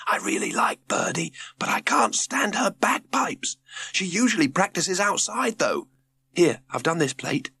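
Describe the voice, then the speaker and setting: A man voicing an excitable, opinionated young girl who likes to confide, in an audiobook. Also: high voice, a little slow, creaky, breathy edge, no room sound, pitched above the performer's own voice, full character voice. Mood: hushed complaint.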